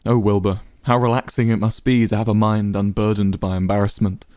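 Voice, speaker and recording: A man's voice: on the low side, flat delivery, a little fast, a little mellow, no room sound, natural voice. A man voicing a smug, calculating young male leader with a cool, cutting manner.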